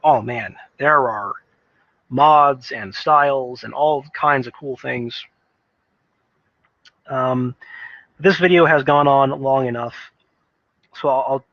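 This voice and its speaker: A man giving an unscripted opinion monologue about video games, thinking aloud in a halting way and repeating words as he goes. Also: mid-range voice, no echo, highs cut off. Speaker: gaming commentator.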